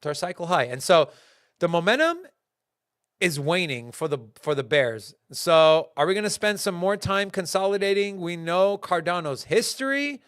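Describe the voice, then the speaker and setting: Animated young man talking crypto markets. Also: high voice, moderate pace, smooth, dry recording, studio quality. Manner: animated, talks in bursts with fillers and restarts. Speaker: crypto market show host. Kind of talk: casual market commentary to a live chat.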